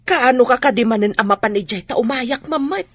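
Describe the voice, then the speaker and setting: Husky, fretful Filipino mother. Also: fairly high pitch, a little fast, fairly bright tone. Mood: anxious questioning. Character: worried, disapproving middle-aged mother. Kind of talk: radio drama acting.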